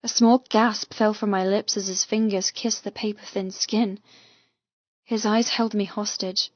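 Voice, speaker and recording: A woman reading a first-person romance audiobook with intense, expressive, dramatic delivery. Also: mid-range voice, unhurried, dry recording, highs cut off. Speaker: audiobook narrator.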